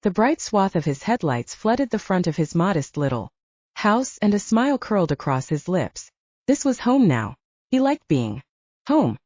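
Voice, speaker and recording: Woman, audiobook narrator, mellow tone, animated intonation, scripted fiction, dull roomy recording. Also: on the low side, normal speed.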